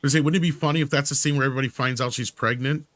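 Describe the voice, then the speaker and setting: A man's voice: mid-range voice, steady tone, racing, a bit bright, no echo. Casual male stream host, dry close sound. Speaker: live stream host reading viewer comments. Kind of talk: casual panel chat.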